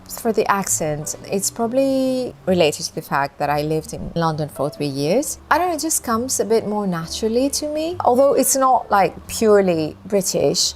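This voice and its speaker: A woman in her fifties, a native Greek speaker, answering viewer questions in a gentle, chatty, reflective way. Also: fairly low pitch, a little hiss or hum. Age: 50s. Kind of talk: casual Q&A chat.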